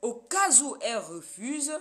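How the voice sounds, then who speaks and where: A woman's voice: a little high, sing-song, unhurried, bright, forceful tone. A woman from Cameroon, a Yemba speaker, hosting a casual live stream and talking straight to her viewers.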